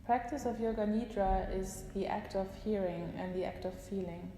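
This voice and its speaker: Calm woman guiding relaxation, roomy echo. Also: mid-range voice. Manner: slow, soft, calm instructions with long pauses. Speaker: yoga nidra guide. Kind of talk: guided relaxation meditation. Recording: roomy echo with long reverb, faint hiss.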